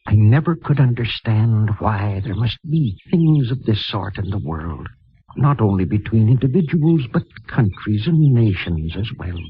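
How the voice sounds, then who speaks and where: Very husky, gentle mission priest. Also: male voice, fairly low pitch, sing-song, measured pace, full character voice. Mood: mournful. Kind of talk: 1950s radio drama acting. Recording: muffled old radio broadcast, dry studio.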